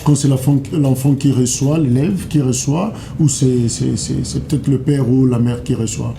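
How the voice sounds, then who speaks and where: A man's voice: medium pitch, steady tone, moderate pace, gravelly, dark tone, slight background noise. A man hosting a TV interview, asking probing questions with frequent fillers.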